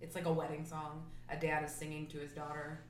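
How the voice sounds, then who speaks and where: A woman in a casual chat with friends, blunt and quick to laugh. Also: sits low, completely flat delivery, roomy sound, slight background noise.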